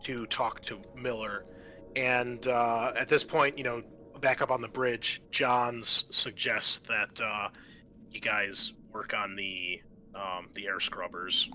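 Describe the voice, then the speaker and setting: Bright-voiced man running a tabletop game. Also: medium pitch, fairly even intonation, slow pace. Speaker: game master. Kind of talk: narrating a tabletop role-playing game.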